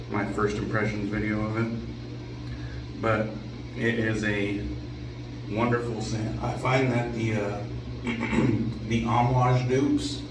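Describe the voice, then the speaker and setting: A man hosting a shaving vlog while on vacation, his voice raspy and hoarse from drinking and talking over music, rambling casually and clearing his throat often. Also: fairly low pitch. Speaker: shaving channel host. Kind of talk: casual shave vlog talk. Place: vacation bathroom with air conditioning. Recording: echoey room, distant mic, noticeable background hum.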